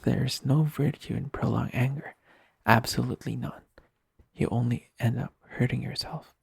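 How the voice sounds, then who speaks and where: A man playing a gentle, caring best friend, speaking in a soft, breathy voice with soothing, earnest, unhurried delivery in an intimate comfort roleplay over music. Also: mid-range voice, steady tone, no room sound, full character voice. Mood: calm, consoling. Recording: music under the voice. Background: soft instrumental music.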